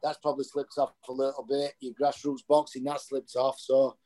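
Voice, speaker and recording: A man, chatting as a podcast guest in a rambling, animated way. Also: mid-range voice, normal speed. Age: 30s. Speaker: guest, boxing coach. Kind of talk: casual podcast chat.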